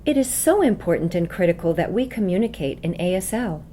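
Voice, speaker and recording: A woman's voice: on the low side, expressive, a little fast, warm tone, close to an everyday voice. A woman voicing an earnest, insistent deaf patient in an educational dramatization. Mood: indignant, insistent. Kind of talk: voiced dialogue and scripted explanation in an educational video. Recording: faint background noise.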